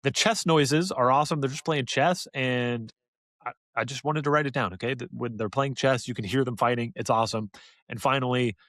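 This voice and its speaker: A man's voice: medium pitch, brisk, a bit bright, no echo. A man co-hosts a podcast in a loose, conversational way. His delivery is informal and full of joking banter, like someone chatting with a close friend. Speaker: podcast host. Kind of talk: casual podcast chat.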